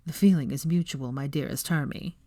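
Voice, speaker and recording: Woman doing a low, sardonic young wizard man. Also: a little fast, mellow, dry recording, studio quality. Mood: smug, taunting.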